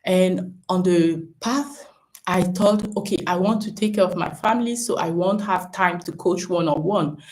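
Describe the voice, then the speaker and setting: A woman's voice: fairly low pitch, moderate pace, darkish tone, rough recording. A woman from Cameroon, working as a business coach, talks to viewers in a live stream at home, encouraging them and thinking aloud with frequent "right?" and "um".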